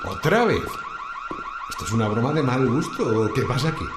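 An actor playing a flustered middle-aged worker who talks excitedly to himself in a radio drama. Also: male voice, medium pitch, a little fast, echoey room, background noise, close to an everyday voice. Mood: suspicious.

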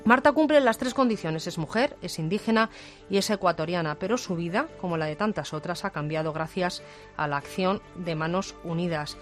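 A woman hosting a radio interview in a studio, asking lively, inviting questions. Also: lowish voice, brisk, soft background music. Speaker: radio host.

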